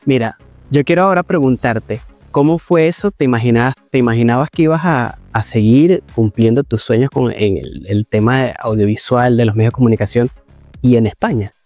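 A man's voice: medium pitch, fairly quick, a little mellow, dry recording, faint music behind. A man working as a TV reporter, introducing a feature and asking interview questions with an upbeat presenter delivery.

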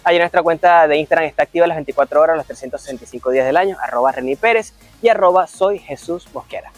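A man's voice: on the high side, fast, dry recording, soft background music. A man hosting a show, reading a science segment and introducing guests in an upbeat presenter's manner. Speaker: show host.

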